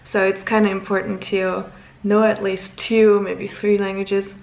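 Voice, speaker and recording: A woman's voice: medium pitch, little pitch movement, a little slow, creaky, darkish tone, roomy sound, low-quality recording. A woman in her twenties from Luxembourg, German as her first language, chatting casually to camera as a vlogger, giggly and rambling.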